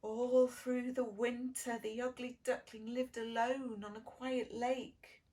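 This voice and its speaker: A woman reading a children's storybook as a preschool lead, with sing-song delivery, dramatic pauses and simple questions for young listeners. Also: fairly high pitch, level tone, warm tone. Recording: distant microphone.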